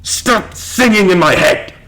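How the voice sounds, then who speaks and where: A haunted, rum-craving old pirate captain for a stage drama. He is gruff and husky, with slow, brooding speech that leaps wildly into barked commands and fearful outbursts. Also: high-pitched, animated, pushed and bright, full character voice. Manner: barks demands, broods fearfully. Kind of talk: theatrical stage pirate acting.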